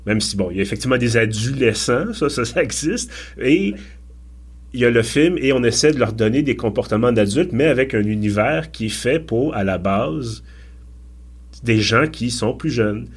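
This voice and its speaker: A man's voice: medium pitch, animated, moderate pace, breathy edge. A man, hosting a podcast and asking long, hesitant questions with many restarts. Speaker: podcast host. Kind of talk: casual podcast interview.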